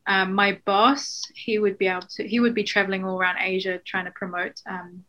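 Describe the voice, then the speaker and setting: A young woman from New Zealand, a guest chatting casually on a podcast, relaxed and full of ums. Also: medium pitch, a little fast.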